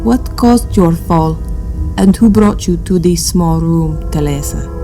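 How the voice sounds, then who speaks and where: A woman playing the gentle, sorrowful ghost of an honorable alien officer in a sci-fi audio drama, earnest and solemn. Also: lowish voice, takes it slowly, over music. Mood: solemn, apologetic.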